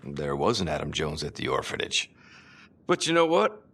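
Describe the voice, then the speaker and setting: Gruff, gravelly, cynical detective. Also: male voice, extremely low pitch, wildly swooping pitch, moderate pace, a bit bright, full character voice. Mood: cynical, dismissive. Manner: blunt, scornful. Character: gruff, foul-mouthed, cynical male homicide detective. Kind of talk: video game cinematic acting.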